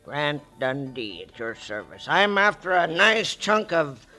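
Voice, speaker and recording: A man's voice: on the high side, very animated, measured pace, full character voice. Cool, scheming con man. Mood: sly. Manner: cool, quietly menacing. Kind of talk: 1940s radio acting. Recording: old radio broadcast with faint music.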